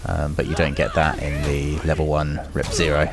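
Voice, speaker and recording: A man's voice: very deep voice, little pitch movement, moderate pace, music in the background. A man hosting a gaming video, talking in a casual, chatty, rambling way as he gives his commentary. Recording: noisy with clear background noise.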